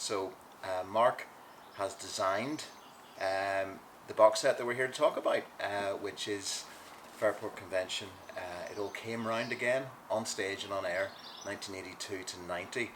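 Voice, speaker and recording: A man hosting a casual chat in a back garden, joking and filling pauses with ums and uhs. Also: fairly low pitch, fairly even intonation, fairly bright tone. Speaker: host, music writer. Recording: noisy outdoor recording.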